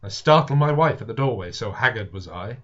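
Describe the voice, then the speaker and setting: A man reading a classic science fiction novel aloud as dramatic narration, with deliberate, expressive, theatrical phrasing. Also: fairly low pitch. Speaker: audiobook reader. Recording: dull lo-fi home recording.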